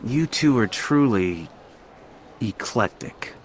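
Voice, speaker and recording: Well-off, courteous official in a game cutscene; lowish voice with lively inflection, formal and polite. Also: male voice, slow, slight background noise. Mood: gracious, uneasy. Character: courteous, wealthy male official seeking travel companions. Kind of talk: video game cutscene acting. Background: background music.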